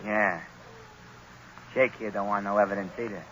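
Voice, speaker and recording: An actor playing an eager, slow-witted American henchman with questioning gangster slang in a 1930s radio drama. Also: lowish voice, fairly even intonation, lightly acted. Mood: conspiratorial. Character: eager, slow-witted male henchman, deferential to his boss. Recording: dull, hissy 1930s radio recording.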